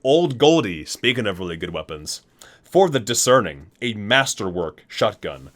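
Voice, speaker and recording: ASMR man reading with lively, swinging pitch. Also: normal speed. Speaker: gaming content creator and host. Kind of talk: ASMR reading of game item descriptions with casual asides.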